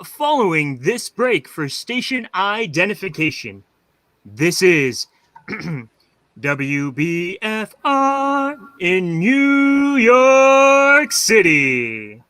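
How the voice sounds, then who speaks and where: A man's voice: sits high, very animated, very slow, pushed and bright, smooth, dry recording, full character voice. A man playing a showy 1930s radio station announcer.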